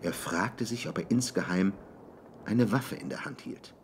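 A man's voice: lowish voice, measured pace, a little hiss or hum. A man narrating an adventure audiobook in a dramatic, scripted storytelling style.

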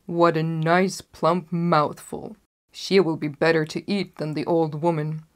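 A wicked storybook wolf, acted out by a woman reader, greedy and sly. He speaks in a low, emphatic voice and coaxes with false friendliness. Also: flat delivery, a little slow. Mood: greedy scheming.

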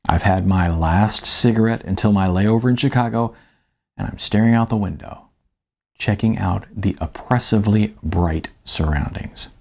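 A middle-aged man with an American accent and a gravelly, slightly husky voice, narrating his own first-person book as an author. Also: lowish voice, moderate pace, darkish tone. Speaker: author reading his audiobook. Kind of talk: first-person audiobook narration with casual asides. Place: small indoor room, seated at a table.

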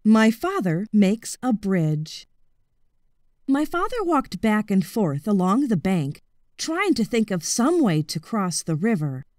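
A woman narrating a children's audiobook in an expressive, measured storytelling manner. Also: medium pitch, no room sound, studio quality.

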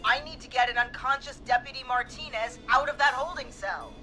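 A woman's voice: high voice, steady tone, brisk, bright, forceful tone, soft background music. A woman playing a frightened but determined police dispatcher in a video game. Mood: urgent, insistent.

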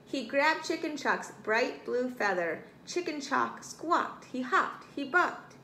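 A woman's voice: sits high, lively intonation, takes it slowly, pushed and bright. A middle-aged woman reading a storybook aloud to children expressively, recorded in a small room. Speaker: storybook reader. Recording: roomy, some background noise.

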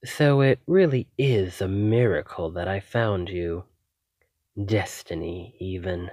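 Obsessive male vampire played by a man, ASMR roleplay. Low, close and dry, condescending, quietly menacing command. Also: no room sound. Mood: grave, explaining. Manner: slow, deliberate, condescending, commanding. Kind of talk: ASMR roleplay, scripted acting.

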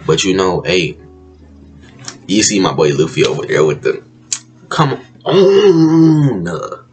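A man's voice: low-pitched, very animated, slow pace, soft background music. A young man hosting a reaction video from his bedroom, laid-back and chuckling, with a groggy just-woke-up morning voice. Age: young adult. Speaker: reaction channel host. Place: bedroom, indoors.

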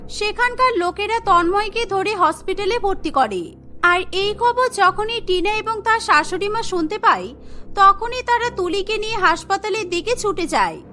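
A woman's voice: very high-pitched, lively intonation, normal speed, sharp tone. A woman narrating a scripted cartoon story as its storyteller. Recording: music behind the voice.

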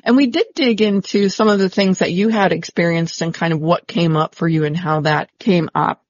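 A woman's voice: on the low side, no echo, highs cut off. A woman hosting a podcast, chatting enthusiastically with a guest over a remote call. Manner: enthusiastic, effusive. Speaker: podcast host. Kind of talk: casual podcast interview.

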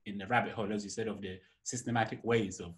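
A man's voice: lowish voice, fairly even intonation, fast talker. A young man hosting a casual video-call interview, talking in a reflective, loose way with many "you know"s, from a room at home. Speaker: interviewer, host. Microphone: laptop webcam on a video call.

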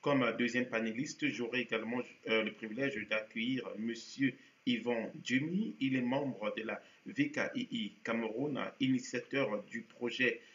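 A man's voice: medium pitch, fairly bright tone. Hesitant male studio host, roomy sound. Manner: frequent hesitations and restarts. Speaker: TV show host. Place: TV studio set with virtual backdrop. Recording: roomy, dull sound with faint hiss.

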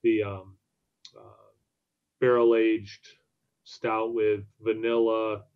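A man in his thirties in relaxed podcast conversation, speaking from home on a video call. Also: fairly low pitch, takes it slowly, clean tone. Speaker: podcast co-host. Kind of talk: casual chat. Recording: slightly dull lo-fi call audio.

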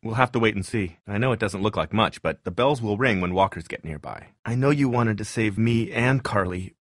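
A man's voice: fairly low pitch, fairly quick, dry recording, clean studio sound, lightly acted. A man playing a nerdy, mild-mannered tinkerer in a zombie video game, speaking earnestly and a little hesitantly, pedantic when explaining. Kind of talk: naturalistic video game voice acting.

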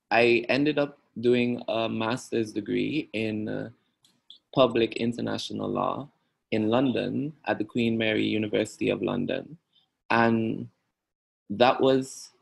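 Gravelly young Antiguan man. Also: lowish voice. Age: young adult. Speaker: podcast guest. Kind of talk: thoughtful interview talk.

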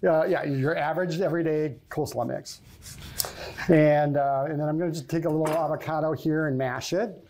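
A man's voice: fairly high pitch, steady tone, normal speed, a little mellow, slight background noise. A middle-aged man demonstrating a recipe as a guest chef in a TV studio kitchen, easygoing and full of ums and uhs. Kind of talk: cooking demonstration.

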